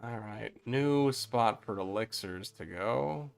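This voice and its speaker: A man's voice: fairly low pitch, takes it slowly, creaky, clean tone, faint music behind. A young man with a Midwestern accent chatting casually and nostalgically while streaming a game, swearing and laughing now and then. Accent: Midwestern American. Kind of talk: casual gameplay commentary.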